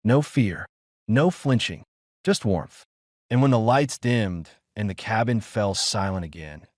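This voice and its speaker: A man narrating a scripted science fiction story in measured, short phrases with dramatic pauses. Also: fairly low pitch, no room sound, studio quality. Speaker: audiobook narrator.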